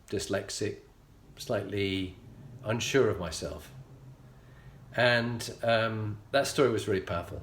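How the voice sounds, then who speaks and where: A middle-aged man with a Yorkshire accent, telling a personal story as a show host in an earnest, measured way with frequent pauses. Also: fairly low pitch, a little hiss or hum. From England. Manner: earnest, measured, pausing often. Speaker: leadership coach and show host. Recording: echoey room.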